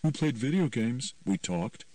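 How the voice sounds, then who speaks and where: A man's voice: medium pitch, animated, unhurried, dark tone, dry recording, far from the mic, voice pushed up, natural voice. Man as an offhand, candid teenage boy. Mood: matter-of-fact. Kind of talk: audiobook character voice.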